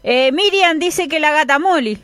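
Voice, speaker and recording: A woman co-hosting a radio show, reading listener messages aloud in a measured way with hesitant fillers. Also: sits high, fairly bright tone, no room sound. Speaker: radio co-host.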